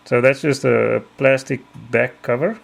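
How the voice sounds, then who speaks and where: Middle-aged Afrikaans-native male narrator, mid-pitched gravelly voice, methodical tutorial delivery. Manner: measured, careful, frequent ums. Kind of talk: explanatory voice-over.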